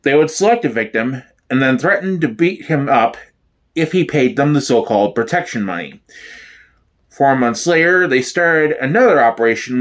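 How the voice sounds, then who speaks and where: A man giving a dramatic, deadpan reading as the narrator of a mock documentary. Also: fairly high pitch. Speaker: solo performer, narrator.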